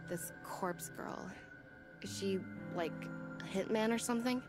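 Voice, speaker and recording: A woman's voice: mid-range voice, unhurried, close to an everyday voice. A woman voicing a blunt, impatient young woman in a visual novel, with a curt and sarcastic manner. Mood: sceptical, demanding. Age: young adult. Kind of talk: visual novel character reading. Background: background music.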